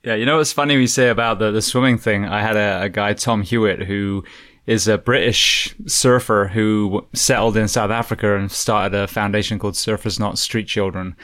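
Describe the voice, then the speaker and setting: A male podcast host with a fairly low voice interviews his guest with curiosity. He likes to share long asides of his own. The sound is dry and close. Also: fairly even intonation, moderate pace, a bit bright, dry recording. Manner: inquisitive, chatty, explains at length. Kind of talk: interviewing, conversational.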